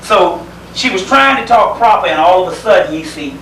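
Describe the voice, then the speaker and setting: A man from South Carolina with a Southern US accent, giving an informal lecture in a folksy, conversational manner with pauses and repeated phrases. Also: sits high, lively intonation, bright, forceful tone. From South Carolina, United States. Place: indoor lecture gathering. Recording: roomy, distant microphone, faint background noise.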